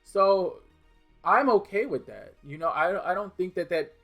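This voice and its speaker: A man's voice: high-pitched, lively intonation, measured pace, vocal fry, a bit bright, faint music behind. A young man from New Orleans with a mild New Orleans accent, chatting casually and bluntly while answering viewer questions, with restarts and fillers. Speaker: gaming video creator. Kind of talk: casual Q&A chat.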